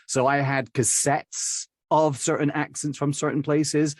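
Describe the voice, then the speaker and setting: A man's voice: fairly high pitch, little pitch movement, measured pace, no room sound. Chatty English man with a dry sound. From England. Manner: chatty and rambling, with frequent fillers and small laughs. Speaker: accent and dialect coach, guest. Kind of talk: casual interview storytelling.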